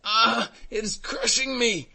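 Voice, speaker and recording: A man's voice: very high voice, slow, creaky, pushed and bright, voice pushed up, exaggerated voice. A man voicing a trapped old carter in a storybook reading, pleading in a strained, agonized way. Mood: agonized, panicked. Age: older.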